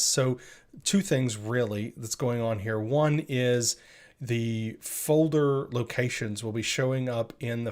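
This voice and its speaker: Man, mid-pitched voice, tech show co-host, conversational, explanatory, unscripted. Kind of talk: casual unscripted tech news discussion.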